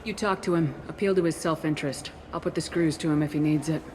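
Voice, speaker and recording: A businesslike woman commander voiced by an actress in a game cutscene. She speaks low and to the point, with authority, over clear background noise. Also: fairly even intonation, fast, darkish tone, close to an everyday voice. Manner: direct, commanding, terse. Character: resolute, businesslike female military commander. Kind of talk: video game cutscene acting.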